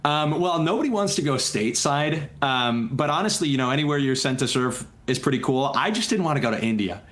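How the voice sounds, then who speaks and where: A man's voice: medium pitch, animated, fairly quick, a bit bright. A young man from the United States talking casually as a talk show guest, with an easygoing, jokey manner. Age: young adult. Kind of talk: casual interview chat.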